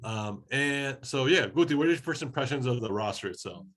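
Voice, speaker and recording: A man from Oregon co-hosting a soccer podcast, talking in a relaxed, casual way while slightly hoarse from a head cold. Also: medium pitch, a little fast. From Oregon, USA. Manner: laid-back, conversational, many fillers. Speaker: podcast co-host.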